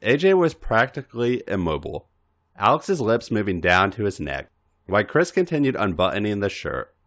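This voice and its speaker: A man reading a story aloud as expressive, scripted podcast narration. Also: lowish voice, moderate pace.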